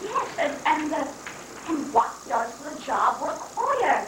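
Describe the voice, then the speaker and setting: A woman's voice: high voice, big pitch swings, slow pace, fairly bright tone, heavily acted. A woman playing an officious, hesitant government official chairing a meeting in a satirical play reading. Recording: echoey noisy live recording, distant microphone.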